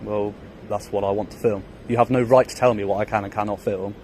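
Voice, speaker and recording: British man, quick, assertive street challenger filming outdoors. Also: lowish voice, steady tone, a little mellow, slight background noise. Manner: assertive, persistent questioning about rights. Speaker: member of the public filming officers. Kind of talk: confrontational street exchange. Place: outdoors on a public footpath.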